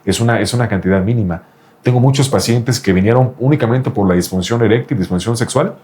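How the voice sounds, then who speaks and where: A man's voice: mid-range voice, fast, darkish tone. A man, a doctor specializing in reproductive andrology, explains things insistently to a patient and often repeats the patient's name. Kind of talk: explanatory interview talk with a patient.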